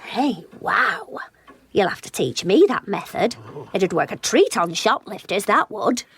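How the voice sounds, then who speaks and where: An actress playing a blunt, sardonic gift shop worker in a radio sitcom. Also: female voice, mid-range voice, expressive, measured pace, a bit bright, breathy edge, heavily acted.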